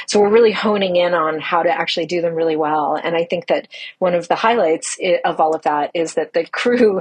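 A woman with a slightly low, noticeably husky voice gives an informal spoken update as a crew commander. She talks in an upbeat, emphatic way, stressing how things are. The delivery is unscripted, like a personal audio log. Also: rough recording. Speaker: mission commander of a Mars analog crew.

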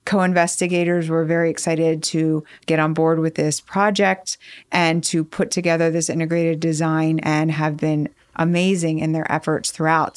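A woman's voice: deep voice, clear, resonant tone, no echo. A woman speaking as a scientist guest, giving explanatory answers in an interview. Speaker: principal investigator, scientist guest.

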